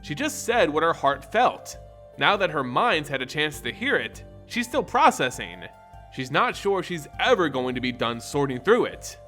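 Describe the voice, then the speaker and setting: Male audiobook narrator, lively intonation, measured and dramatic, music bed underneath. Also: a little high. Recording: music behind the voice.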